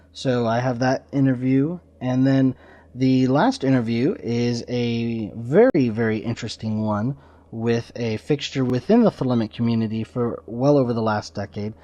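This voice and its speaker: A man with a mid-pitched voice hosts a podcast in a casual, slightly rambling style. He talks informally through his introductions. The recording is a little dull and lo-fi. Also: mellow. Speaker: podcast host.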